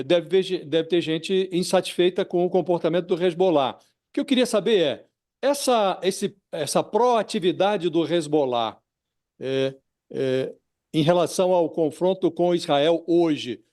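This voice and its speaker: Higher-voiced Brazilian man, news interviewer. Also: a little mellow. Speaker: news show panelist putting questions to a guest. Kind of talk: spontaneous interview questioning.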